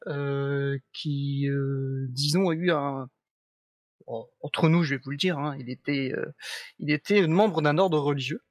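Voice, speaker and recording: A man at a tabletop game explains his character's backstory, pausing often to find his words. His voice sits a little high and sounds close and dry. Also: no room sound. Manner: rambling, halting, many fillers. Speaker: player.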